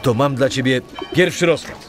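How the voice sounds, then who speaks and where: A man's voice: medium pitch, normal speed, music in the background, close to an everyday voice. A man playing a dryly teasing, commanding army recruiting soldier in a children's audio drama. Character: gruff but amused army recruiting soldier in 1920.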